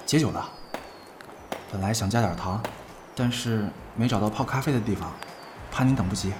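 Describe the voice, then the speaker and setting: A man playing a polite, shy, devoted younger colleague in a Chinese audio drama, speaking softly, hesitantly and deferentially. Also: on the low side, normal speed, background noise, lightly acted. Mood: shy, considerate. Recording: roomy echo, music behind the voice.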